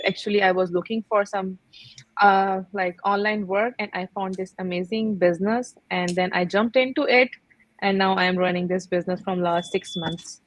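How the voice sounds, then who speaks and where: A woman, speaking earnestly and haltingly as a guest on a video call, full of fillers. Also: medium pitch, no echo, low-quality recording. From Pakistan. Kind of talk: casual video call interview.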